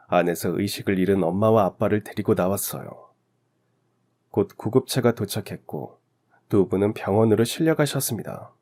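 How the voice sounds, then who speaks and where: A man's voice: fairly low pitch, mellow. A man narrating a scripted first-person story in radio drama style.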